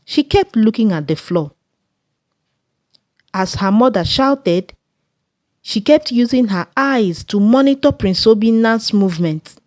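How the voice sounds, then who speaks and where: A woman with a Nigerian accent narrating a scripted folktale in a measured storytelling way. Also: a little high, animated, a little mellow, no echo.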